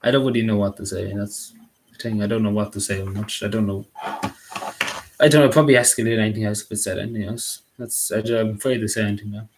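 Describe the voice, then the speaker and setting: A young man with a Derry accent from Northern Ireland, chatting casually on a live stream, rambling and apologetic with many halting restarts. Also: on the low side, steady tone, a little hiss or hum. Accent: Derry, Northern Irish. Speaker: livestreamer.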